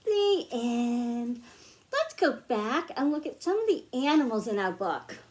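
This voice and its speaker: American female children's librarian, high register, slow delivery, child-directed read-aloud and simple teaching. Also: big pitch swings, darkish tone. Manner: simple, child-directed phrasing with questions to listeners. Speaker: children's librarian hosting storytime.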